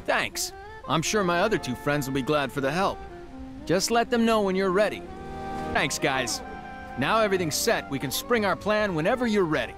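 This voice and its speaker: An actor playing an earnest young martial-arts superhero in a video game, friendly in manner. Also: male voice, high voice, sing-song, moderate pace, over music. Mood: earnest, upbeat.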